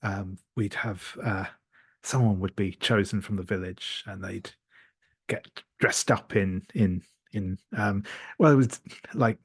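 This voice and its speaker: A man telling an improvised tabletop roleplay story, haltingly searching for words. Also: on the low side, husky, no room sound. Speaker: tabletop game participant.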